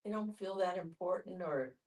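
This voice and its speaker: A woman's voice: on the low side, normal speed, darkish tone. A woman participating in a casual group discussion, offering her own take in a conversational way. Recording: group in room, distant mic.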